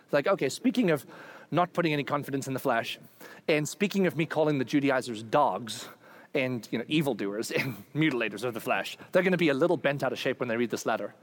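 A man preaching a sermon, animated and humorous, acting out quoted figures. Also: mid-range voice, quick pace, dry recording. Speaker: pastor.

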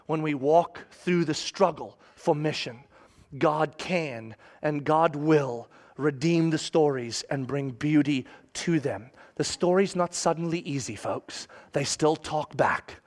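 High-voiced man preaching emphatically. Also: slow pace, a little mellow. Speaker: pastor. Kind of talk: sermon.

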